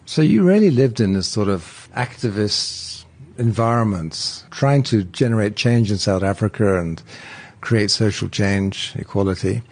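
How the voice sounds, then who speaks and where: A man's voice: fairly low pitch, animated, unhurried, darkish tone. A man interviewing a guest in a conversational way.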